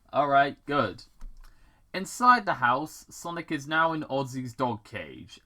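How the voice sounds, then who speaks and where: A man reads a story aloud in an informal, sardonic way with loose side commentary. His voice is bright and forceful, with lively pitch movement and an unhurried pace that stumbles now and then. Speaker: host reading fan fiction.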